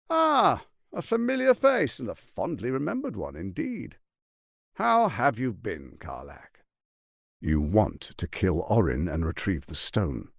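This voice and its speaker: A grandiose tyrant of a fantasy video game, speaks in a mid-pitched male voice with a creaky grain. His delivery is pompous and articulate, hugely varied in intonation, full of condescension and calculated menace. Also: a bit bright, dry recording, clean studio sound, heavily acted. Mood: smooth, insinuating. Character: scheming, silver-tongued tyrant archduke, grandiose and menacing. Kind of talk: theatrical video game voice acting.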